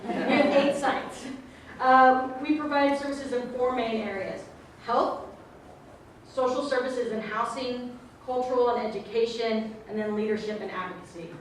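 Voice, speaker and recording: A woman giving an earnest talk at a community meeting, speaking loudly to the room. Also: medium pitch, a little hiss or hum. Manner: earnest, speaks loudly by her own account. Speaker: guest speaker. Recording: echoey room, voice from far off.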